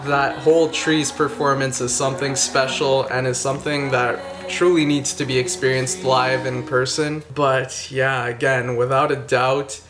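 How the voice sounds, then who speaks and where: A man's voice: mid-range voice, fairly bright tone. A man hosting a vlog, talking casually and enthusiastically to his viewers about a concert he went to. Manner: enthusiastic, grateful, friendly. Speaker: YouTube reaction channel host. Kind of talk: casual vlog recap to camera.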